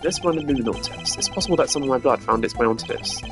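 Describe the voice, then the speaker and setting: A man voicing a composed, formal male prosecutor in a game, speaking in measured, deliberate deductions. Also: medium pitch, creaky, close to an everyday voice. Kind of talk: fan voice acting of a game. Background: game music.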